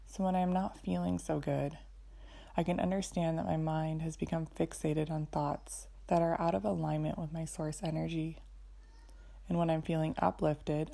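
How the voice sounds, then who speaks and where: Low, mellow woman guiding meditation, slightly creaky. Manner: soothing, measured, deliberate phrasing. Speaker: yoga and meditation teacher. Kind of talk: guided meditation and yoga instruction.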